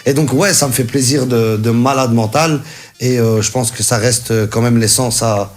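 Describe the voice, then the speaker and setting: A young man from Belgium, a guest musician talking casually in an interview, relaxed and full of fillers and repeated phrases. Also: medium pitch, normal speed.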